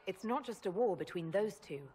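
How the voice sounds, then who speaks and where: An actress playing a composed elf woman leader in a video game, speaking in a measured, direct and dryly wry way. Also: medium pitch, lively intonation, warm tone, in a large hall, natural voice. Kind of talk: video game character acting.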